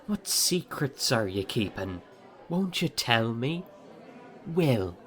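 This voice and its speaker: A boastful young pirate captain in an audio play. He speaks slowly in a mid-range male voice, with a taunting, indignant swagger that bullies whoever he addresses. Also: mid-range voice, sing-song, a bit bright. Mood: scornful, bullying. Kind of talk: theatrical audio drama dialogue.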